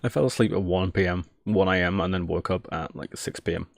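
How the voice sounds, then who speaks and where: A man's voice: deep voice, fairly quick, gravelly, no room sound, studio quality. A man casually thinking aloud on a live tech stream, jokey and self-deprecating, muttering each step as he works.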